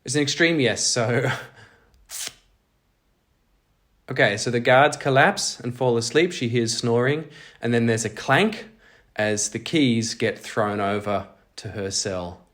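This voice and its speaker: A man's voice: mid-range voice, slow pace, long pauses, a bit bright. A man hosting a solo role-playing audio drama, moving between scripted story narration and casual play-by-play of the game. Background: music.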